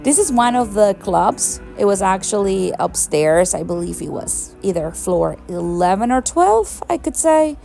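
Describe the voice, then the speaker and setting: A woman's voice: mid-range voice, sing-song, measured pace, vocal fry, few pauses, mellow, faint music behind. A young woman from Venezuela narrating her travel vlog in a chatty, enthusiastic way, laughing often. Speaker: travel vlogger. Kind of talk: casual travel vlog voice-over.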